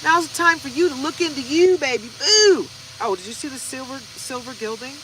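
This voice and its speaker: A woman's voice: high-pitched, animated, fairly quick, very noisy. A woman in her forties chatting casually to her viewers while she reads tarot cards. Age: 40s. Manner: chatty, talks straight to viewers. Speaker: tarot card reader. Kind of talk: casual tarot reading vlog.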